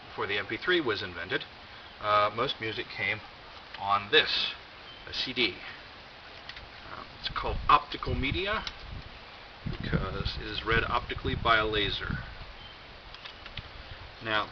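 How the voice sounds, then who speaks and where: An American man giving a casual, rambling show-and-tell with wry, deadpan humour and a halting delivery. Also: lowish voice. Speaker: video host. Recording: dull lo-fi audio, loud background noise.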